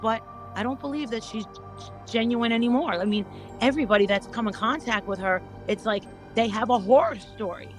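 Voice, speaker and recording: A woman chatting casually as a panel guest, rambling and haltingly repeating her words as she goes. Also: a little high, faint music behind. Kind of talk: casual gossip chat.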